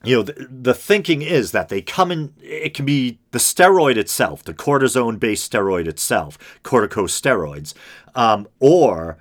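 A middle-aged man hosting a podcast alone, talking in a low, droning, semi-gravelly voice, rambling and self-deprecating with many ums and restarts. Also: animated, moderate pace. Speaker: podcast host. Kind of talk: casual solo podcast monologue.

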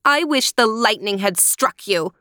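A woman voicing a greedy, bad-tempered spoiled girl with a rude, sharp, demanding manner in a storybook tale. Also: medium pitch, animated, moderate pace, no echo, clean studio sound, natural voice. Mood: sneering.

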